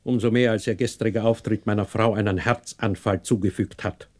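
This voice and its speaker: A man acting a stern, proud, unforgiving older patriarch in a German radio play, cold, sarcastic and measured. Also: on the low side, steady tone, darkish tone, natural voice. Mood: cold, haughty.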